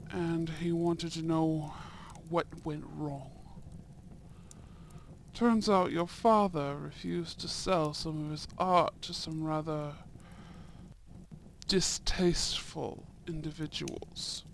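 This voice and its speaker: Man acting a slow-spoken, courteous young Edwardian viscount. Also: on the high side, vocal fry, slight background noise. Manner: formal, measured, deliberate phrasing with soft murmurs. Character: young Edwardian viscount, courteous, shrewd, coolly protective suitor. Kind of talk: romantic period audio roleplay, ASMR.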